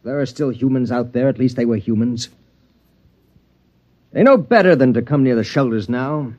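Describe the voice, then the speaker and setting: An actor playing a brusque, impatient husband in a 1950s radio drama. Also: male voice, medium pitch, normal speed, darkish tone, natural voice. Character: stern, calculating husband. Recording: dull old radio broadcast.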